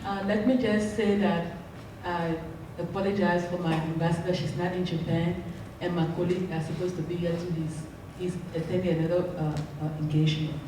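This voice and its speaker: A middle-aged woman with a Liberian accent speaks to a formal gathering in a very echoey hall. Her voice is on the low side, picked up a little distantly through a handheld mic. She is making impromptu remarks as an embassy guest. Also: little pitch movement, moderate pace. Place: large concert hall stage, seated. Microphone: handheld microphone. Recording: echoey hall, distant pickup, faint noise.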